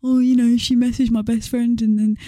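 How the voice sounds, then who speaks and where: Young woman, slightly raised pitch, casual podcast chat, playful questioning, dry sound. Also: level tone, brisk, mellow, no echo. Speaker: podcast co-host. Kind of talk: casual chat, reacting to stories.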